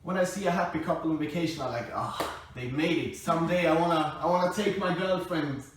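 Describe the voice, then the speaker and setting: Young non-native male co-host, relaxed storyteller, hesitant and giggly; roomy distant recording with light hiss. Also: on the high side, quick pace. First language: not English. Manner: laughs often, hesitant with many fillers. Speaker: groom-to-be co-hosting a couple's video. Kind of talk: casual storytelling to camera. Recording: echoey room from far off, faint hiss.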